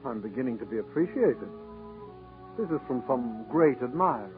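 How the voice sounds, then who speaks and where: Man playing a lively, hopeful young playwright. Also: mid-range voice, normal speed, narrow, tinny audio, lightly acted. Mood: delighted. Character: hopeful, self-pleased young male playwright. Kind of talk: 1940s radio acting. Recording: 1940s radio broadcast over music.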